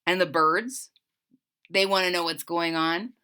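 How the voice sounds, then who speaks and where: Female storybook reader: slow, bright, lively intonation, expressive read-aloud for young children. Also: fairly low pitch, a little hiss or hum. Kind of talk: expressive read-aloud narration with retelling asides.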